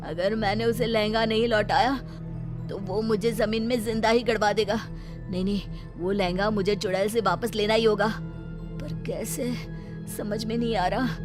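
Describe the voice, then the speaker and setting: A woman's voice: medium pitch, steady tone, moderate pace, music in the background, close to an everyday voice. A woman voicing a worried but crafty young washerman boy, talking anxiously to himself in a cartoon story. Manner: anxious, self-talking, exclamatory. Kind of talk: Hindi cartoon story acting.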